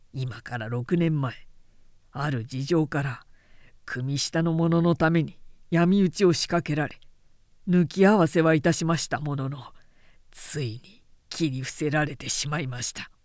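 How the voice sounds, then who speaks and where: A woman's voice: low-pitched, darkish tone, no room sound, clean studio sound, voice pushed down. A woman voicing a gaunt, dignified young samurai avenger in an audiobook, speaking formally and with grave restraint. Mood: grave, restrained. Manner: formal, measured samurai speech. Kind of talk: audiobook character dialogue.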